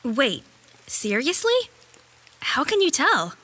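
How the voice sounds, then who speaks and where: A woman's voice: high-pitched, sing-song, slow, pushed and bright, full character voice. A woman playing a sarcastic, plucky young fighter with a wry, feisty manner in a fantasy audio drama. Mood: wry, curious.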